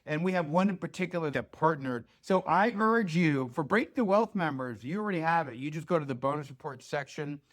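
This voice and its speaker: An American man hosting an informal investment pitch, talking conversationally and emphatically, with check-ins and rhetorical questions. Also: expressive, brisk, hardly any pauses. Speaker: financial newsletter host.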